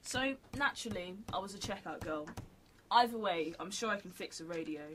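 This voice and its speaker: A woman's voice: lowish voice, expressive, a little fast, background noise, natural voice. A woman playing a practical, wry survivor in an amateur radio drama, dry and matter-of-fact.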